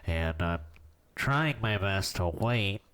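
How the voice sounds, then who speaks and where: A man hosting a casual podcast, congested from allergies, with a nasal, stuffy voice and a drawn-out deadpan delivery. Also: low-pitched, clear, resonant tone. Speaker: podcast co-host. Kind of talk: casual chat.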